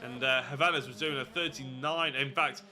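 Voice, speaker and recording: A man's voice: mid-range voice, steady tone, normal speed, pushed and bright, a little hiss or hum. A young man giving live sim racing commentary, congested and nasal from hay fever, chatty and often correcting himself. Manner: chatty, self-correcting, apologetic asides.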